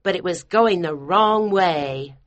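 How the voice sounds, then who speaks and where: A flustered middle-aged mother who gushes in a high, fluttery voice, as a male narrator puts her on. Her talk is fussy and chattering, pitched well above the reader's own low voice. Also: takes it slowly, fairly bright tone. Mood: gushing. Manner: flustered and gushing.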